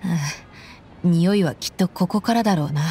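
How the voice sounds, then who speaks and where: A woman's voice: on the low side, normal speed, dark tone, a little hiss or hum, close to an everyday voice. A woman playing a tough, blunt young female mercenary who talks brusquely and casually in a Japanese anime game. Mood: exasperated.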